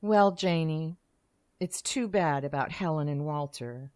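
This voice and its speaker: A woman playing a chatty, matter-of-fact mother in expressive old-time radio drama style. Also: deep voice, a little slow. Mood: chatty, sympathetic. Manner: expressive, exclamatory.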